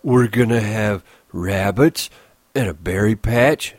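A man voicing a big, slow-witted, childlike man in an audiobook, trusting and anxious, speaking in simple, stubbornly repetitive rural phrases. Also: lowish voice, no room sound. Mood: eager, insistent.

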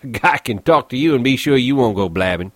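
A man voicing a bitter, lonely older ranch hand who talks gruffly in rough rural dialect. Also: medium pitch, expressive, a little fast, no echo, studio quality, voice pushed up, lightly acted. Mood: brooding.

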